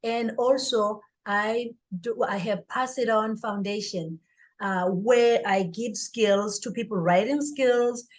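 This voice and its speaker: A woman's voice: medium pitch, slow. A woman speaking as an interview guest, a life coach and author, in an earnest, motivational way. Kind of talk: interview answer.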